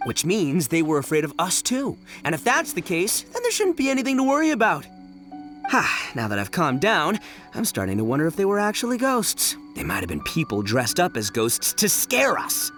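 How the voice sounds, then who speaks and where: Brash, swaggering young gang leader. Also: male voice, fairly high pitch, wildly swooping pitch, normal speed, a bit bright, faint music behind. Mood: thoughtful, confident. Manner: boastful, swaggering, colloquial. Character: brash, cocky young male gang leader, boastful but easily spooked. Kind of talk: animated video game voice acting.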